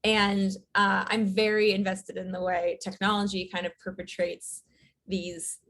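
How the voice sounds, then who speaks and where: A woman, a writer and director, talking as an interview guest in a relaxed, thoughtful way with many fillers. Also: medium pitch, unhurried, a little hiss or hum. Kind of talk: casual interview chat.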